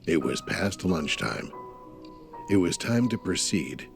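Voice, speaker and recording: Deep, gravelly male storyteller. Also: very animated, slow, music in the background. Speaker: narrator. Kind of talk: scripted audio drama narration.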